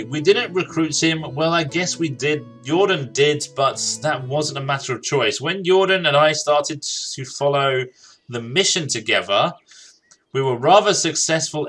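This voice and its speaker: A man's voice: fairly high pitch, fairly bright tone. A man doing casual, jokey gaming commentary, talking to himself and reading game dialogue aloud. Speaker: gaming streamer.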